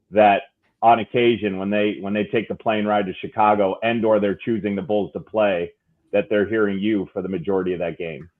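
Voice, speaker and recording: A man co-hosting a podcast, asking rambling, playful questions. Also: fairly low pitch. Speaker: podcast co-host. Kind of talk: casual podcast chat. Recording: tinny phone-like line.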